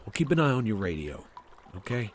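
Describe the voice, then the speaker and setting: A man's voice: lowish voice, big pitch swings, fairly quick, a little hiss or hum. A man acting a reluctant, caring leader of an underground shelter in an audio drama, hesitant and subdued. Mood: reluctant, practical.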